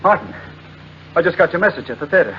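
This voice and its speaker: An actor playing a devoted husband in 1940s radio drama, anxious and distraught, stammering urgently with worry. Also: male voice, fairly high pitch, animated, fast, natural voice. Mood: alarmed. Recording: muffled old radio recording with hum.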